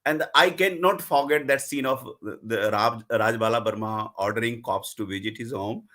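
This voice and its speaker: A man's voice: medium pitch, moderate pace, a bit bright. A middle-aged man, a festival panelist who tells stories at length and retells scenes with gusto. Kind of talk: animated book discussion at a festival.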